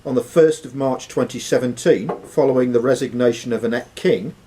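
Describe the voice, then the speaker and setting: A man's voice: mid-range voice, a little fast, mellow, slight background noise, rough recording. A man hosting a live quiz in a club room, reading the questions aloud and ruling on answers. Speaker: quiz host and question reader. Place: sports and social club room.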